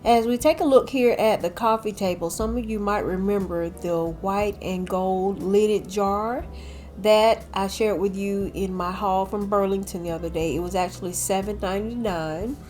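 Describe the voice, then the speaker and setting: A woman's voice: mid-range voice, fairly even intonation, moderate pace, a little mellow, faint music behind. An older woman, slightly nasal from a cold, chatting casually in a home decor voice-over. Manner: chatty, addresses viewers as y'all.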